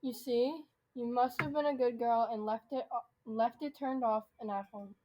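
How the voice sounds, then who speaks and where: A woman's voice: fairly high pitch, fairly even intonation, normal speed, dull audio, lightly acted. A young girl of about twelve playing a patient, instructive schoolteacher in a comedic radio drama. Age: child, about 12 to 13. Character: female schoolteacher, explaining patiently to her class.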